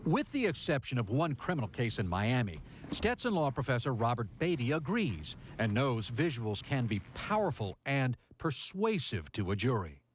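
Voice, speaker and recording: A man's voice: medium pitch, big pitch swings, normal speed, few pauses, a little hiss or hum. A man with an American accent reading a scripted television news report as a reporter.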